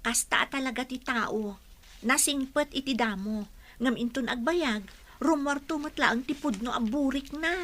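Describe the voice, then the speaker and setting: A woman's voice: sits high, unhurried, a bit bright. A woman playing a sensible young female student in a radio drama, giving earnest, cautioning advice. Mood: worried, cautioning. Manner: advising, earnest.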